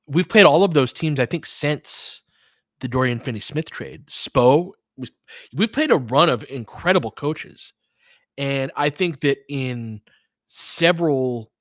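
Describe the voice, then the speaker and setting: A man's voice: mid-range voice, a bit bright, no room sound, studio quality. A man giving informal live-stream play-by-play and commentary, thinking aloud as he chats with listeners.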